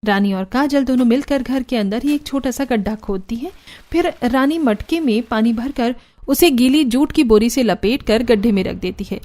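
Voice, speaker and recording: A woman's voice: fairly high pitch, moderate pace, mellow. A woman narrating a scripted Hindi cartoon story.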